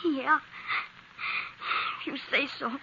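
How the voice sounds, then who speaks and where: A muffled old radio recording carries a very high, piercing young boy. He is desperate and heartbroken, pleading earnestly with a wavering voice in a vintage radio drama. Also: fairly even intonation, slow pace, creaky, sharp tone, slight background noise, heavily acted. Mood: subdued. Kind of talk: 1950s radio drama acting.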